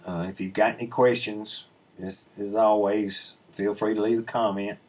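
A man's voice: fairly low pitch, flat delivery, moderate pace, darkish tone. A middle-aged man casually explaining his sawmill work over video footage, laid-back and rambling with plenty of ums and uhs, recorded at his desk on a webcam. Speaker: sawmill channel host. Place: indoor room, voice-over recorded away from the sawmill. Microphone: webcam inset, seated at a desk.

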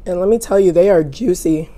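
A young woman with a sick, raspy, hoarse voice, talking slowly and casually between bites with some whispered asides. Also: lowish voice, mellow. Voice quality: raspy, losing her voice. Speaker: mukbang host. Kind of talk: casual eating show chat. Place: home room, indoors.

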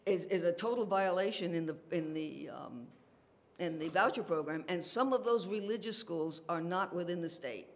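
A man speaking up at a public forum, emphatic and dismayed. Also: sits high. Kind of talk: public comment.

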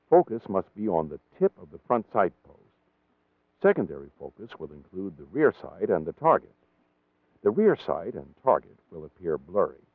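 A man with an American accent reading scripted training-film narration in a measured, formal, precisely enunciated way. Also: low-pitched, no room sound, phone-quality sound.

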